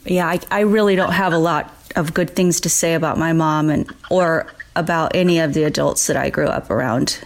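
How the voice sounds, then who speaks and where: Low-voiced woman in an intimate call. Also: fairly even intonation, moderate pace, few pauses. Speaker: daughter speaking with her biological father. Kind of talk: intimate personal phone conversation.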